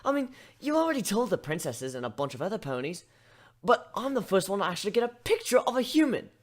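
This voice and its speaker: A man puts on an eager female cartoon pony, inquisitive and chatty. The voice is pitched well up, with very lively, excitable swings. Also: quick pace. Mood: excited.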